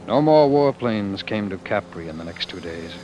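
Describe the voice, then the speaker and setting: A man's voice: on the low side, expressive, moderate pace, highs cut off, over loud music, close to an everyday voice. An actor in an old-time radio play, voicing a defiant, bitter man fleeing war, in an emphatic theatrical manner. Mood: somber narration. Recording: noisy old radio broadcast recording.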